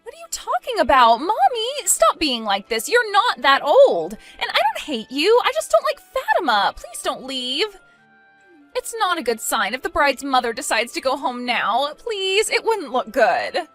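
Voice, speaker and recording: A woman's voice: high voice, sing-song, normal speed, few pauses, bright, forceful tone, soft background music, exaggerated voice. A woman voicing a spoiled, self-centred young bride in a dramatized story, petulant and pleading. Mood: desperate pleading.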